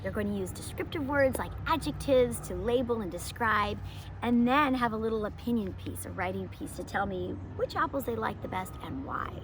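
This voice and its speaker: A woman speaks as a homeschool teacher presenting to young children. Her delivery is emphatic and storybook-like, with pitch that moves around in a lively way. Faint ambient noise sits behind her voice. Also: moderate pace. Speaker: homeschool teacher hosting a read-aloud. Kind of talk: children's picture book read-aloud and lesson presenting.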